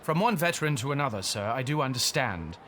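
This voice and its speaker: Haughty, bitter banker, animated and sharp. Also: male voice, medium pitch, fast talker, a little hiss or hum. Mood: indignant, defensive. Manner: haughty, flustered, formal diction. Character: bitter, indignant London bank underwriter, war veteran. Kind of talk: dramatic audio fiction acting.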